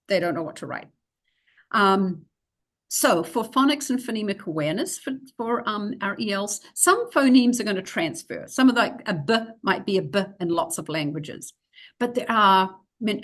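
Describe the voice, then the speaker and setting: A middle-aged woman with a New Zealand accent, explaining reading instruction for English learners in a recorded video-call lecture, practical and full of fillers. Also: mid-range voice. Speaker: teacher trainer.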